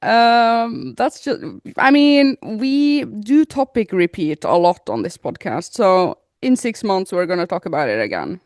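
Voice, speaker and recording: A woman's voice: medium pitch, expressive, a little slow, few pauses, a little mellow, dry recording, clean studio sound. A woman in her thirties co-hosting a podcast, chatting casually and reading out listener comments with frequent laughter. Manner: giggly, reads comments aloud with frequent laughter. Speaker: podcast co-host.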